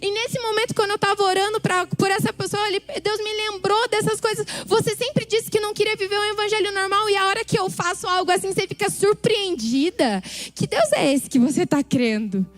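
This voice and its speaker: A woman preaching a passionate sermon with a Brazilian Portuguese accent, speaking with emphatic repetition and a slightly worn, hoarse voice. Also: extremely high pitch, brisk, soft background music. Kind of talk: sermon testimony.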